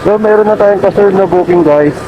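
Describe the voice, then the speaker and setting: A man's voice: sits high, a little fast, highs cut off. A Filipino man working as a motorcycle taxi rider and vlogger, chatting casually and politely while out on the road. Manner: polite, deferential. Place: outdoors on a motorcycle in city traffic. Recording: loud music, vehicle engine behind.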